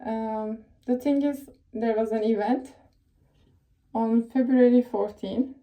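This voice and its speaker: A young woman with a Turkish accent talks through career tips in loose, meandering sentences, stopping often to correct herself. Her voice sits fairly high, yet it sounds very dark and soft rather than bright. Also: steady tone, slow pace. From Turkey. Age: young adult. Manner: rambling, self-correcting, chatty with frequent fillers. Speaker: tech professional giving advice. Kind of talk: casual advice vlog.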